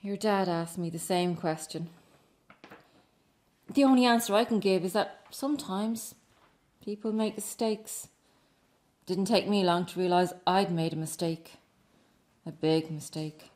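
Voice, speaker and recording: A middle-aged estranged wife and mother in a radio play acted by a woman, earnest and wounded. She speaks haltingly and with feeling, as if confessing, sounding remorseful and a little defensive. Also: fairly low pitch, steady tone, a little mellow, natural voice. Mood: apologetic. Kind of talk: radio drama acting.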